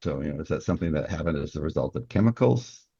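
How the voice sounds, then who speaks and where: A man with a gravelly, husky voice talking ideas through speculatively as an interview guest. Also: rumbling low, lively intonation, fast, mellow, dry recording. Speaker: guest, novelist. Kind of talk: conversational interview discussion. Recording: dull, muffled dry recording.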